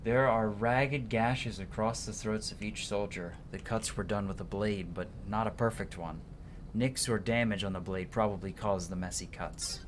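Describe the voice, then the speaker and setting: A man's voice: lowish voice, fairly even intonation, normal speed, soft background music. A man doing livestream game commentary, switching between casual asides and theatrical reading of on-screen story text. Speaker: livestream gamer.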